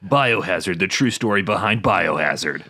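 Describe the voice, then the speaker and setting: A man's voice: sits low, lively intonation, normal speed, sharp tone, no echo, studio quality. A man co-hosting a podcast in casual chat, joking irreverently and reading from notes. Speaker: podcast co-host.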